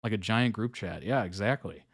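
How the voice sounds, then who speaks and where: A man's voice: fairly low pitch, moderate pace, breathy edge, dry recording, clean studio sound. A man hosting a live reaction stream, chatting casually with viewers, laughing often and using lots of fillers.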